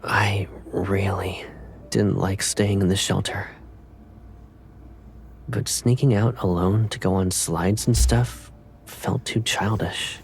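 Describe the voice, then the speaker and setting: An actor playing a tired, tender young man in a video game, soft and breathy, guarded but gently teasing. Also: sits low, steady tone, slow, long pauses, a little hiss or hum. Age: young adult. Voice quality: soft, breathy, partly whispered. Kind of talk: intimate scripted game dialogue.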